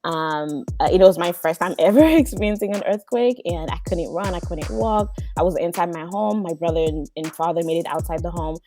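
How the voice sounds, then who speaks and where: A woman's voice: on the low side, a little fast, hardly any pauses, dark tone, soft background music. A young woman from Haiti, a polite podcast guest talking about her life with many ums. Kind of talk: casual interview.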